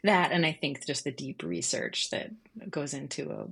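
Lower-voiced woman in conversational interview. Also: big pitch swings, normal speed, creaky, husky. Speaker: guest, freelance journalist.

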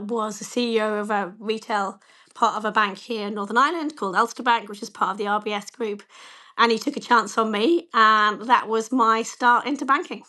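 Slightly croaky woman, candid interview guest. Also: mid-range voice, pushed and bright. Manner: candid, self-deprecating, many "you know" fillers. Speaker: bank chief executive, interview guest. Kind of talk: conversational interview answers.

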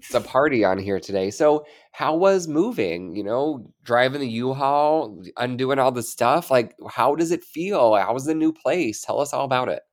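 A man's voice: mid-range voice, lively intonation, moderate pace. Congested, chatty man co-hosting a podcast. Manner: chatty, upbeat, rambling. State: congested, stuffy-nosed. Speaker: podcast co-host. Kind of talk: casual chat.

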